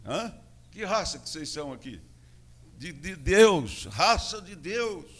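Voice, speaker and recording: A Brazilian pastor with a São Paulo interior accent delivers a church sermon at an unhurried, deliberate pace. His voice sits a little high for a man. He swings between ringing declarations and hushed asides. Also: sing-song, slightly rough. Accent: Brazilian Portuguese, São Paulo interior. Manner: preaching with swings between loud proclamation and quiet asides.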